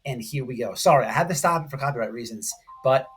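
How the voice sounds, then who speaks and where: Male online commentator, mid-pitched and a touch husky, excitable, emphatic, repetitive and casual. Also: fast talker. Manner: excitable, emphatic, repetitive, addressing viewers directly. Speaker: online commentary channel host. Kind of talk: casual, informal video commentary.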